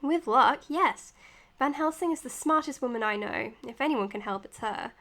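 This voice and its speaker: A woman playing an earnest, dutiful psychiatrist in an audio drama, speaking in a measured, precise, professional way. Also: high voice, animated, fairly bright tone. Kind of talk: audio drama acting as spoken case notes and dialogue.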